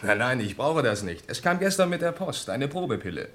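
A man's voice: medium pitch, big pitch swings, a little fast, a bit bright. A man playing a casual, slightly embarrassed young army officer in a vintage radio crime drama. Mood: sheepish, offhand.

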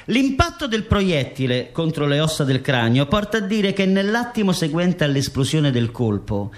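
High-pitched man narrating a radio documentary drama. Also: big pitch swings, moderate pace.

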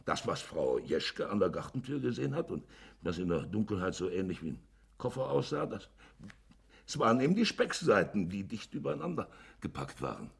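An actor in a German radio play playing a shifty village innkeeper who justifies himself in a hesitant, wordy way. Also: male voice, lowish voice, very animated, a little fast, gravelly, slightly rough, exaggerated voice. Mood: evasive, ingratiating. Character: shifty, smooth-talking village innkeeper hiding a crime.

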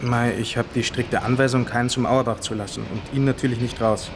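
An actor playing a timid, evasive young police guard in a radio drama, with a Bavarian accent and a plain, hesitant manner. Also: male voice, mid-range voice, level tone, fairly quick, over music, close to an everyday voice. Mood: hesitant, explaining. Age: young adult. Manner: plain-spoken, hesitant, defensive. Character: timid 21-year-old male police guard, evasive, dutiful. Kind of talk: naturalistic radio drama dialogue. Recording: clearly noisy ambient background.

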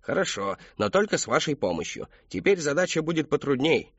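A man voicing a fat children's party clown, shouting with exaggerated jolly showmanship and an edge of menace. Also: on the high side, big pitch swings, a little fast, husky, no room sound, lo-fi sound, pitched above the performer's own voice, exaggerated voice. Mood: rousing, hectoring.

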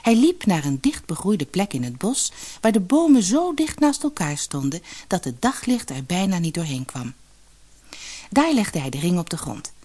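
A woman reading a fairy tale aloud as an expressive scripted storyteller. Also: lowish voice, normal speed.

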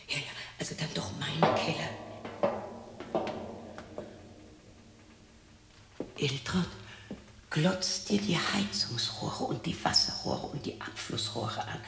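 An actress in her sixties playing a lonely, bitter, eccentric widow who talks to herself in a halting, sardonic, theatrical way in a radio drama. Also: deep voice, creaky, full character voice. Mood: muttering, brooding. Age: 60s. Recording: roomy echo with faint noise.

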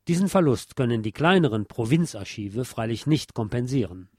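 A man reading a nonfiction text aloud as a podcast host, in a formal, measured, factual manner. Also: mid-range voice, expressive.

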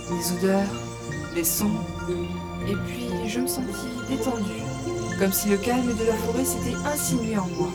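A woman's voice: mid-range voice, natural voice. An actress playing a curious, teasing young gamer who narrates her adventure as a novice ranger in an audio drama. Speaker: narrator and lead character. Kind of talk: first-person audio drama narration with dialogue. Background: prominent music.

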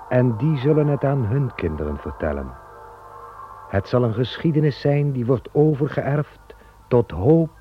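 A man playing a solemn angel who speaks slowly and gravely in an old radio play. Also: medium pitch. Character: solemn angel Michael, calm and prophetic. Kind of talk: 1960s Dutch radio drama. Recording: dull old radio recording.

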